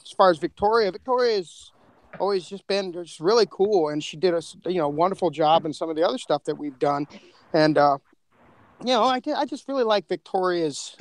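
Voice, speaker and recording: Male podcast guest, highish voice, effusive slangy chatter, restarting phrases, dry recording. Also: no echo. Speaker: podcast co-creator and panel guest. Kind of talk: casual chat.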